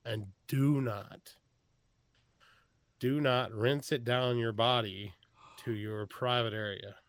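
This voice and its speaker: A man's voice: medium pitch, steady tone, slow pace. A man with a Texas accent, chatting casually as a podcast guest, often restarting his sentences. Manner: rambling, frequent restarts, chuckles. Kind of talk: casual chat.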